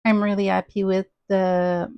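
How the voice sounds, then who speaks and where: Slow, hesitant woman with a Québécois French accent. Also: mid-range voice, flat delivery, a little mellow, clean tone, no room sound, dull audio. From Quebec, Canada. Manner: hesitant, many "um" and "uh" fillers. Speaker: crafting channel host. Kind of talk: casual show-and-tell vlog.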